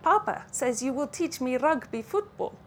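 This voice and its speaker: A woman reading the lines of a sweet, eager little Russian boy, soft and quiet. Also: high voice, expressive, normal speed, a little hiss or hum, voice pushed up.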